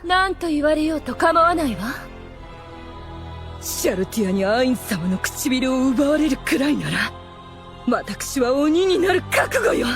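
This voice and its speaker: High, dramatic, haughty demoness. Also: female voice, sing-song, unhurried, faint music behind, heavily acted. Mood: scheming, dramatic. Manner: imperious, refined feminine phrasing. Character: haughty, scheming, obsessively devoted demoness overseer. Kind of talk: anime audio drama acting.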